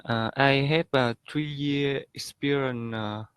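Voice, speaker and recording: A man from Vietnam, a course participant, introducing himself with many hesitant pauses. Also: medium pitch, fairly even intonation, a bit bright, clean tone, no echo. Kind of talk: self-introduction on a call.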